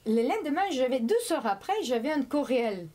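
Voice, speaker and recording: A woman whose first language is Spanish, a guest chatting casually in an interview, often pausing, repeating words and laughing. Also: high-pitched, lively intonation, mellow. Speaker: immigrant guest.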